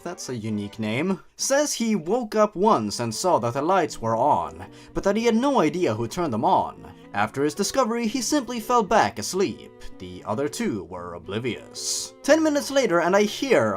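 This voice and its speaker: A man hosts a story reading in a wildly animated, swooping voice. He delivers it with a sarcastic, mocking edge, slipping between narration and wry commentary. Faint music plays behind him. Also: fairly high pitch. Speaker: host reading and commenting on online horror stories.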